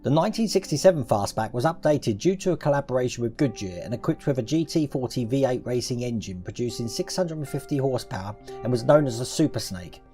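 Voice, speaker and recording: A man reads scripted narration for a product promo in an even, informational way. His voice is mellow and warm. Faint music plays under him. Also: medium pitch, fast talker, few pauses.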